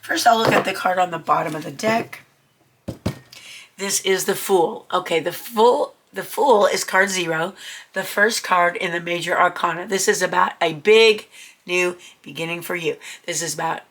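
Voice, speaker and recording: A woman getting over a cold talks through a tarot reading in a chatty, reassuring way, with many ums. Her voice sits a little low and has a gravelly fry. Its tone is bright and forward. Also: moderate pace, a little hiss or hum. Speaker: tarot reader. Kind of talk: casual tarot reading to camera.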